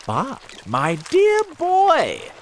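A man voicing a kindly, courteous old male grasshopper in a storybook, avuncular and drawn-out. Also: very high voice, huge pitch swings, a little hiss or hum, pitched above the performer's own voice, full character voice. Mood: reassuring.

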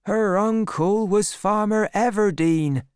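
A woman's voice: mid-range voice, slow pace, a little mellow, clean tone, no room sound, clean studio sound, pitched above the performer's own voice, close to an everyday voice. A woman reading a storybook as a gossiping male farmer at a market.

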